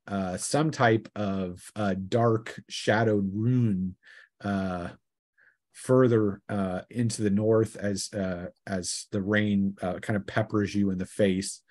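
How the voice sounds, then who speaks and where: A man narrating a tabletop roleplaying game as game master, improvising his descriptions with halting pauses. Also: lowish voice, fairly even intonation, darkish tone.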